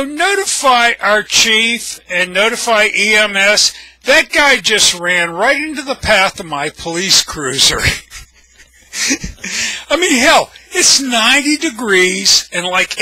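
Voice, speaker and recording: A man's voice: high-pitched, bright, forceful tone, dry recording, rough recording. An older man with an American accent, telling anecdotes casually in a blunt, wry, salty way. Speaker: storyteller, video blogger.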